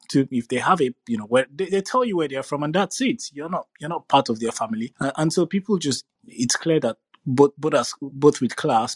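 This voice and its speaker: A man's voice: medium pitch, husky, no room sound. A man speaking as a guest in a panel discussion, earnest and repeating words as he builds his points. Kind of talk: opinionated discussion.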